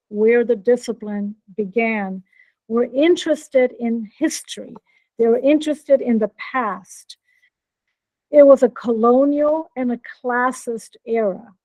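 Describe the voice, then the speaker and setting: A woman's voice: on the high side, darkish tone, no room sound, low-quality recording. A woman with an American accent, a professor giving a friendly keynote talk over a video call and checking in with her listeners. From born in Norway, raised in Brazil. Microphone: computer mic on video call.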